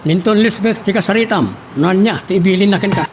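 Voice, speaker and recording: A man's voice: sits high, a little fast, soft background music, close to an everyday voice. A man playing a stern, scheming wealthy boss who presses and commands in an Ilocano audio drama, with an Ilocano accent. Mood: stern, pressing. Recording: clear background hiss and noise.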